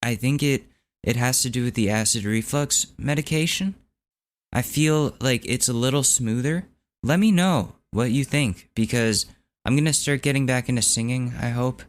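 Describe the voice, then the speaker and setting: A young man hosting his own podcast, talking in a rambling, candid and wry way, his voice still recovering from hoarseness. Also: mid-range voice, moderate pace. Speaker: podcast host. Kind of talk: casual solo podcast monologue.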